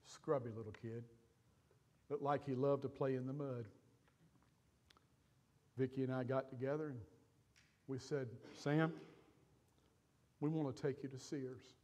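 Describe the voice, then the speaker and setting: An older man preaching from a church stage, telling a personal story with pauses and feeling. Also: mid-range voice, steady tone, darkish tone. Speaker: pastor. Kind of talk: sermon storytelling.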